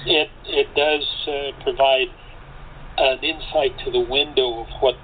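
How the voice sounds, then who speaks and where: Conversational American male guest, deliberate and halting, on a noisy phone connection. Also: mid-range voice, fairly even intonation. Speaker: radio play cast member being interviewed. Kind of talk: casual conversation. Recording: tinny phone line with faint noise.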